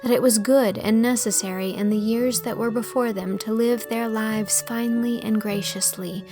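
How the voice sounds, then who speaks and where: A woman's voice: on the high side, steady tone, normal speed, music in the background. A woman reading scripted storybook narration as an audiobook narrator.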